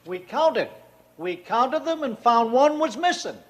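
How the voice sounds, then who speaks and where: Flustered restaurant man testifying, squeaky-high, animated, 1940s radio character part. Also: measured pace, heavily acted. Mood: nervous.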